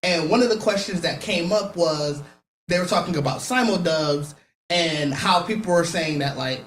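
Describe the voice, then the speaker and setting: A man's voice: on the high side, normal speed, fairly bright tone. A man hosting a podcast, casually recounting a convention panel, rambling and quoting other people as he goes. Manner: rambling storyteller who quotes others, many fillers. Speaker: podcast host. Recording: echoey room with faint noise.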